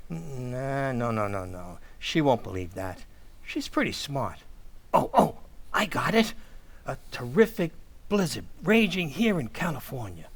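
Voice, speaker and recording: A woman's voice: a bass voice, full character voice. A woman playing a bumbling, panicky working-class American husband, stammering and blustering in a 1940s radio comedy style. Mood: muttering, scheming.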